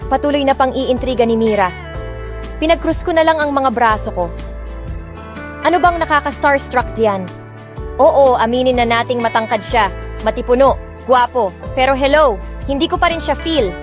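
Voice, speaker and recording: A woman's voice: high voice, lively intonation, normal speed, pauses often, over music. A woman narrating a romance story in the first person, reading expressively with light dramatic touches. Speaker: audiobook narrator.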